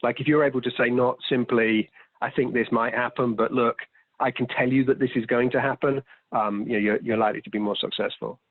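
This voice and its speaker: A man's voice: medium pitch, steady tone, brisk, no room sound. A man answering audience questions conversationally as a panelist, choosing his words with hesitant, thoughtful phrasing. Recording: thin, phone-like video call audio.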